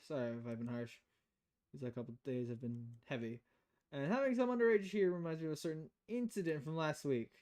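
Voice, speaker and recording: A woman voicing a stern, world-weary female bartender in a visual novel, deadpan, blunt and measured. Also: low voice, voice pushed down. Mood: weary, firm.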